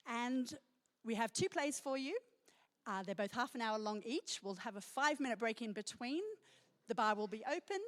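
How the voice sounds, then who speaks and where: A woman hosting a live event, welcoming the audience in a casual, slightly halting way. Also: a little high. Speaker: event host. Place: concert hall.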